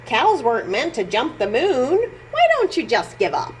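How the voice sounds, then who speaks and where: A woman's voice: fairly high pitch, wildly swooping pitch, moderate pace, slight background noise. A woman voicing a doubtful, dismissive female cow from a picture book, with emphatic delivery.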